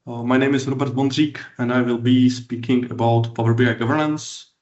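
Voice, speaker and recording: Czech guy doing a tech talk, halting english, pretty monotone, echoey slightly muffled room sound. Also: mid-range voice. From Czech Republic. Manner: halting, hesitant non-native English with repeated words. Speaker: conference speaker, BI consultant and lecturer. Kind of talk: technical conference presentation. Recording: roomy, echoing, slightly dull sound.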